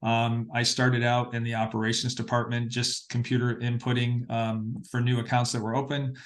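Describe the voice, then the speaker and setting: A middle-aged American man speaks as an interview guest, recounting things in a conversational way. His intonation stays fairly even, with frequent pauses while he gathers his phrasing. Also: mid-range voice. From Massachusetts, northeastern United States. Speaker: interview guest, parade producer.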